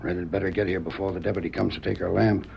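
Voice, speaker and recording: A cunning, gravelly outlaw. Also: male voice, little pitch movement, fast talker. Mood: scheming. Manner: low scheming frontier drawl. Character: cunning cruel male outlaw in a jail cell. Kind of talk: 1930s radio drama acting. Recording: dull old radio recording with faint hiss.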